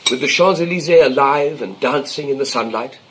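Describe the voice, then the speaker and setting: A man's voice: medium pitch, fairly quick, a bit bright, slight background noise, natural voice. An actor playing an irritable, self-important middle-aged novelist father in a period comedy, pompous and declamatory. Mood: grandly declaiming. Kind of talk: period stage comedy radio acting.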